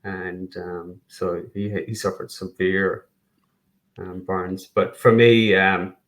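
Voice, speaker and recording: A middle-aged Irish man from Kerry with a low, steady voice that barely rises or falls. He speaks as a podcast guest in easy conversation, with dry self-mocking humor and plenty of restarts. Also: takes it slowly. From Ireland. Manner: self-deprecating humor, frequent restarts and fillers. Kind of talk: casual podcast interview.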